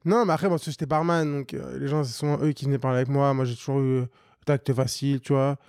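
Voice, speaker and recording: Fast-talking young man, laughing and loose. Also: medium pitch, steady tone, darkish tone, dry recording, clean studio sound. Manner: quick, laughing, loose and rambling. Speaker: guest, co-founder of a street art venue. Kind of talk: casual chat.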